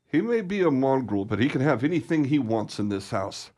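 A man's voice: mid-range voice, sing-song, a little fast, a little mellow. Man playing an emphatic, protective father. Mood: proud, indignant. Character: well-off father and boss, gruffly protective. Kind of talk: radio play acting.

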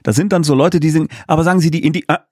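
A man's voice: on the high side, steady tone, rapid-fire, darkish tone, no room sound, studio quality. A man speaking as a guest director in a casual interview, explaining things in chatty, enthusiastic detail. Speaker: guest, audio drama director.

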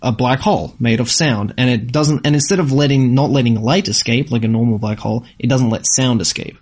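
Man, mid-pitched voice, casual co-host, informal explainer, conversational, unscripted. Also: brisk, darkish tone, slightly rough. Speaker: co-host answering viewer questions. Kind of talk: casual unscripted chat about anime.

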